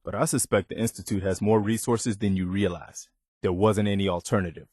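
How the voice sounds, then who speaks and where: An earnest wasteland militia lieutenant with a lowish male voice, recorded close and dry. He speaks plainly and with principle, like a dutiful soldier in a video game. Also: steady tone, a little fast, a little mellow, husky, no room sound. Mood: serious. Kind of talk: video game character dialogue.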